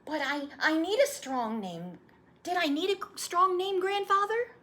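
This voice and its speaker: A woman's voice: very high voice, animated, a little slow, bright, forceful tone, voice pushed up, full character voice. A woman voicing an earnest, eager young boy who asks pleading, insistent questions in a storybook read-aloud. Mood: proud, questioning.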